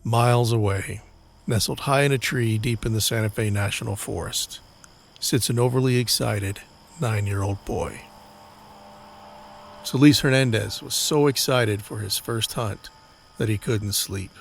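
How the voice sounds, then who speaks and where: A man narrating a scripted crime-horror audio drama in a measured, deliberate storytelling manner. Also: on the low side, gravelly, a little hiss or hum.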